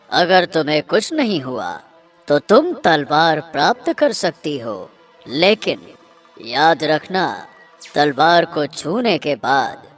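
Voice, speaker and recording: A man voicing a solemn ancient tree spirit in a cartoon, with grave, measured pronouncements. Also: on the high side. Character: solemn ancient magic red tree spirit granting a sword.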